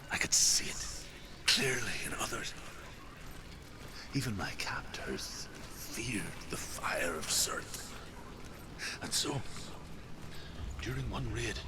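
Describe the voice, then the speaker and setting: An actor playing a haunted, fervent survivor of captivity in a dramatic video game, with an intense, theatrical delivery and a gravelly voice. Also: male voice, medium pitch. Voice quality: gravelly, breathy at times. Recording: loud ambient noise behind.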